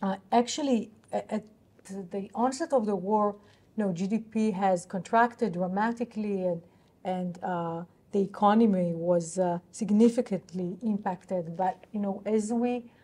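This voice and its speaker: A woman speaks as a company executive on an investor call. Her voice is mid-pitched and soft-edged, with a mellow tone. She explains in a businesslike but halting way, feeling her way through each answer. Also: slightly rough. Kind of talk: unscripted earnings call answers.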